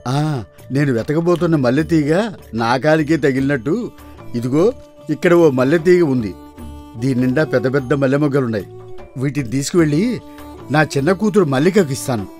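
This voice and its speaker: A man playing a kindly, anxious village farmer and father in an animated folktale, exclaiming and talking aloud to himself. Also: a little high, normal speed, pauses often, faint music behind, close to an everyday voice. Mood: delighted. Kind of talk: dramatised animated folktale dialogue.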